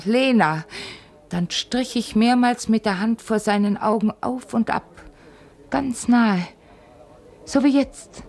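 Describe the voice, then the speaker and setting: An actress playing a dreamy, restless young woman in a literary radio drama, speaking in a halting, trance-like way. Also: medium pitch, vocal fry, darkish tone.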